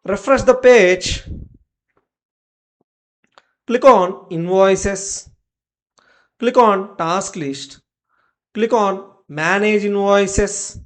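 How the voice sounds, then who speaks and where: Slow-paced software tutorial presenter. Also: mid-range voice. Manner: instructional, short clipped commands. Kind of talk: step-by-step screen-share tutorial narration.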